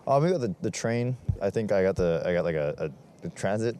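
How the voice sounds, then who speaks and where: A young man gives a loose, meandering answer on a city street, his voice low and mellow. He trails off and restarts as he thinks. Faint background noise sits under him. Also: expressive, brisk. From Hawaii. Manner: rambling, laid-back, self-correcting. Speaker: interviewee. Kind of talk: casual street interview.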